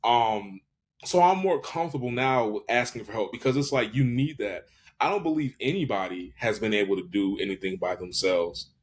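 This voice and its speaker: A man's voice: on the low side, normal speed. A man in his twenties, a podcast guest talking casually and reflectively, hesitant, with many restarts and fillers. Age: 20s. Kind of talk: casual reflective interview talk.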